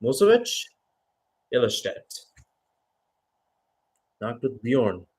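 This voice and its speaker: A man's voice: mid-range voice, very animated, slow, darkish tone. A man giving radio-style live play-by-play football commentary as the match commentator.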